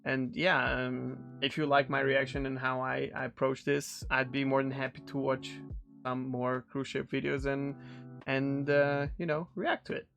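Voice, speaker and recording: A man's voice: medium pitch, flat delivery, moderate pace, vocal fry, fairly bright tone, faint music behind. A man in his thirties with a Serbian accent, a non-native English speaker from Serbia, casually rambling with friendly ums and restarts. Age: 30s. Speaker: streamer reacting to a video. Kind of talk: casual reaction commentary.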